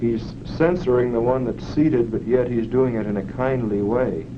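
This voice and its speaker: A man's voice: mid-range voice, moderate pace. Hesitant man on an old hissy film track. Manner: hesitant, restarting with "I mean". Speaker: test participant. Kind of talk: unscripted answers in an experiment. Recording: dull, hissy 1940s film soundtrack.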